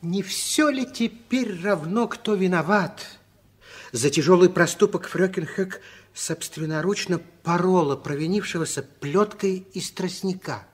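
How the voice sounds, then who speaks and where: A man narrating a children's radio play in an expressive, deliberate 1960s storytelling style. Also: high voice, slightly rough.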